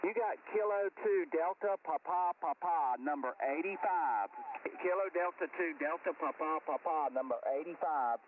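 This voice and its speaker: A man's voice: on the high side, moderate pace. A man who is a regular of an amateur radio group, talking casually with other operators over a shortwave transmission. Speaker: ham radio group regular, roster keeper. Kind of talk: casual two-way radio chat. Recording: thin narrowband ham radio, distant, static. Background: radio static.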